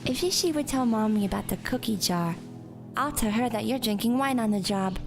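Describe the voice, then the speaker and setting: A woman's voice: a little high, expressive, moderate pace. A woman playing a bold, scheming, childlike young girl with a sassy manner in a horror audio drama. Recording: loud music behind the voice.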